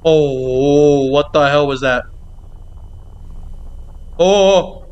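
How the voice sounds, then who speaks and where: Slow-paced, higher-pitched man playing horror games. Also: a little mellow, clear, resonant tone, dull audio. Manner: jumpy, exclaiming, swearing, repeating himself. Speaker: gaming video creator. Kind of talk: horror game playthrough commentary, reacting aloud.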